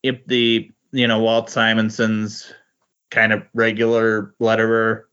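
Low-voiced man, halting podcast guest. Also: monotone, a bit bright, smooth. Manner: hesitant, halting, repeats words. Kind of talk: casual comic book discussion. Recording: dull lo-fi voice recording.

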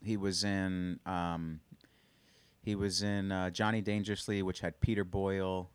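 A man's voice: low voice, little pitch movement, a little slow, clean tone, no room sound. A man co-hosting a casual movie podcast, searching aloud for names with many ums and uhs. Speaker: podcast co-host.

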